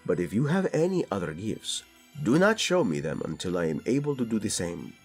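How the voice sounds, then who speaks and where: A man's voice: fairly low pitch, sing-song, brisk, darkish tone, husky, soft background music, exaggerated voice. A man playing a flirtatious, doting prince and husband in a romantic audio roleplay, speaking intimately and expressively. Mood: flustered.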